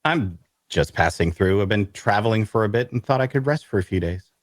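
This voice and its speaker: An actor playing an awkward, bookish loner adventurer who narrates an audio drama in a wry way. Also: male voice, lowish voice, lively intonation, fairly quick, dry recording, clean studio sound, lightly acted. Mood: awkward, polite. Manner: wry, hesitant in conversation. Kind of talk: first-person narration and dialogue in audio drama.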